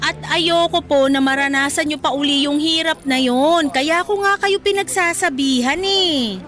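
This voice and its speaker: A young Filipina daughter in a radio drama, respectful but frank. Her voice is very high-pitched and bright, with earnest delivery and a Filipino accent. Soft music sits behind it. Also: moderate pace. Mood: apologetic, pleading. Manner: earnest, pleading, polite yet frank. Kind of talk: Tagalog radio drama acting. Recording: music under the voice.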